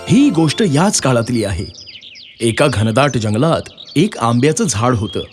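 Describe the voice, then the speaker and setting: Expressive male children's storyteller. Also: mid-range voice, measured pace. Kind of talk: scripted children's story narration. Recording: music behind the voice.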